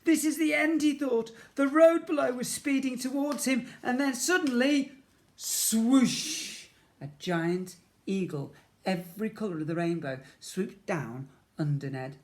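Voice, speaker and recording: A man's voice: very high voice, lively intonation, measured pace. Man reading a children's story dramatically. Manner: dramatic, playful, with sound effects and emphatic repetition. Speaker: primary school teacher reading to children. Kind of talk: expressive children's storybook narration.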